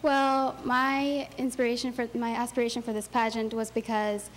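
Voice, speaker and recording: A woman's voice: a little high, flat delivery, normal speed, slight background noise. A young woman from northern Belize, a pageant contestant answering eagerly in a TV interview.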